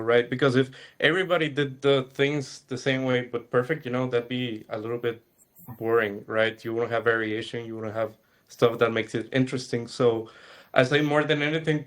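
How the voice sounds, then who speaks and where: Young guy on a webinar q&a, mid-pitched, mulls things over, lots of uhs and restarts. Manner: thoughtful, hesitant, many fillers and restarts. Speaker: student answering audience questions. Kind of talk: webinar Q&A answers.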